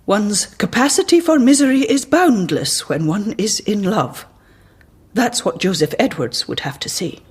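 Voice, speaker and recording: An actress in a radio drama playing a cautious, earnest hospital colleague who speaks formally and precisely, with prim reproof. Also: female voice, mid-range voice, expressive, a little slow, husky. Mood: cautioning.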